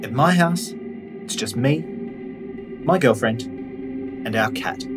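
A man with a slightly husky voice, narrating a scripted audio guide in a conversational way that instructs the listener directly. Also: medium pitch, lively intonation, slow, loud music behind the voice.

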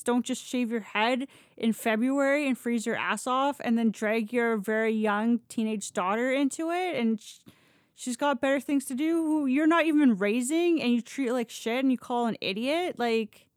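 Higher-voiced woman telling stories casually. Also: no echo, studio quality. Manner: informal, blunt, swears freely. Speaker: podcast storyteller and host. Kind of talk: casual conversational storytelling.

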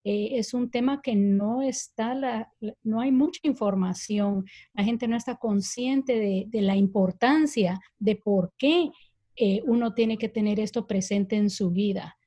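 Woman, Guatemalan accent, unhurried, measured, pauses to think. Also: mid-range voice, warm tone. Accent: Guatemalan Spanish. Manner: slow and thoughtful, with frequent hesitations. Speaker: guest speaker on parenting and self-care. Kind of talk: casual discussion.

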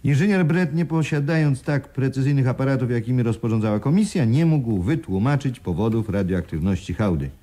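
A man acting in a Polish radio play as an uneasy businessman, speaking in a formal, measured, articulate way. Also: mid-range voice, mellow, lightly acted. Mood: formal, official. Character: uneasy businessman reading an expert report aloud.

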